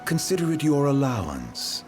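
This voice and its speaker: An actor playing a suave, enigmatic traveling man in a video game, with an arch, theatrical, unhurried delivery. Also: mid-range voice, wildly swooping pitch, soft background music. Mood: sly, teasing. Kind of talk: video game cutscene acting.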